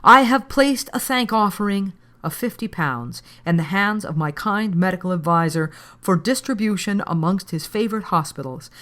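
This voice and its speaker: Lady w a lowish voice reading some old victorian book out loud, measured and deliberate. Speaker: podcast host reading an old book aloud. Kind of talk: audiobook-style reading of a Victorian text.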